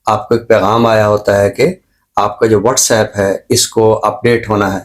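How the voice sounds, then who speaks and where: A man with a Pakistani accent speaking as a talk show expert in a reflective discussion, explaining in measured, repeated phrases with examples. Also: fairly low pitch, level tone. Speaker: expert guest on a literary and social talk show.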